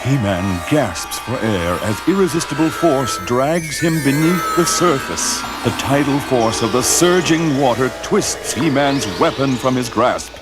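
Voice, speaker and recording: A man's voice: mid-range voice, expressive, a little slow, few pauses, loud music behind the voice, close to an everyday voice. A man playing the dramatic narrator of a heroic children's adventure, reading scripted story.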